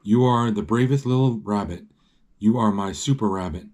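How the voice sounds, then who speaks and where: Man reading as mellow, coaxing mother rabbit. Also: on the low side, normal speed, close to an everyday voice. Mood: affectionate. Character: fond, comforting mother rabbit in a storybook.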